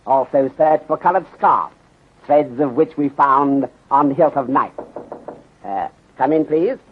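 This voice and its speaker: An actor playing a shrewd, courteous Chinese detective inspector in a 1930s radio serial, speaking formally and deliberately in clipped phrases that drop small words. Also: male voice, medium pitch. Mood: polite, instructing. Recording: muffled, husky-sounding 1930s radio recording.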